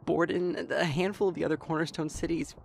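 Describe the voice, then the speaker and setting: A man's voice: a little high, steady tone, fairly quick, vocal fry. Junior officer role, male actor: worried, respectful, urgent and stumbling over words, noisy backdrop. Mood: anxious urgency. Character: anxious, deferential military subordinate reporting to a ruler. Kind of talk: dramatic audio roleplay.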